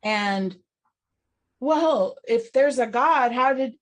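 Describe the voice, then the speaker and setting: This woman speaks slowly and reflectively, her voice a little high. She pauses and restarts often as she works out her thoughts, with occasional laughs. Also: animated. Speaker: podcast co-host. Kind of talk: casual chat on recovery and spirituality.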